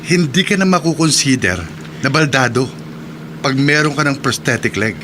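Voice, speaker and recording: A man playing a calm, reassuring older brother. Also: medium pitch, normal speed, natural voice. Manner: calm, explaining tone. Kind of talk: radio drama acting. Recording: noisy recording.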